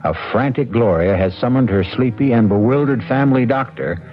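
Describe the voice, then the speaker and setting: A man hosting a 1970s radio mystery, reading scripted narration in a measured, wry, ominous manner. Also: mid-range voice, a little hiss or hum. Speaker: host and narrator. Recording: dull old radio broadcast. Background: loud dramatic music.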